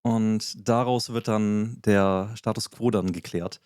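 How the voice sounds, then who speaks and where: Mellow low-voiced man explaining calmly. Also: takes it slowly, no echo, clean studio sound. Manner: explanatory, many fillers and restarts. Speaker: podcast co-host. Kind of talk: casual podcast chat.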